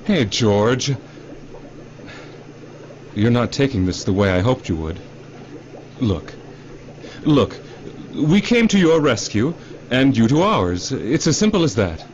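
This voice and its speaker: A man's voice: mid-range voice, big pitch swings, slow pace, long pauses, darkish tone. An actor in a radio drama playing a glib, defensive middle-aged executive who cajoles and repeats himself like a salesman. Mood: defensive, pleading. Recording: dull radio drama recording, faint noise.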